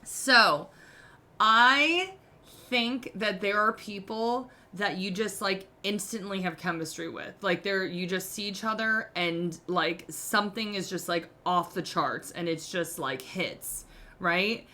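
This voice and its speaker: A woman's voice: mid-range voice, takes it slowly, bright, forceful tone. A woman in her thirties hosting a podcast, giving candid relationship advice in a casual, self-mocking way, full of "like". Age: 30s. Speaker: podcast host.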